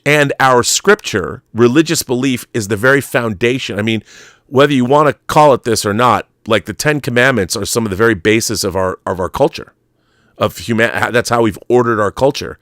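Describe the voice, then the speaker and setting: A man's voice: mid-range voice, fairly quick, a bit bright, dry recording, clean studio sound. An American man in his fifties hosting a casual talk show, rambling animatedly and emphatically with opinionated tangents and the odd swear word. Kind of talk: casual, rambling opinionated live chat answering viewer messages.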